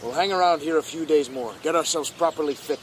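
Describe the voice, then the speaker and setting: A man playing a bold, rough-and-ready gold prospector in a radio drama, brisk, commanding and teasing. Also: on the high side, a little hiss or hum, natural voice, low-quality recording. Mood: confident, boasting.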